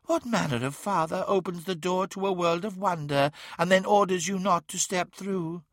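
A man's voice: sits high, normal speed, no echo, clean studio sound, pitched above the performer's own voice. A man playing an ancient, boastful demon god, speaking in a grandiose, taunting and commanding way in a fantasy audiobook. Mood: tempting, scornful.

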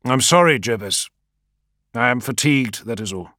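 A man voicing a proud, fiery male war leader who speaks in a raised, forceful way. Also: mid-range voice, expressive, normal speed, no room sound, clean studio sound, voice pushed up. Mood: weary. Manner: forceful, raised, impassioned delivery.

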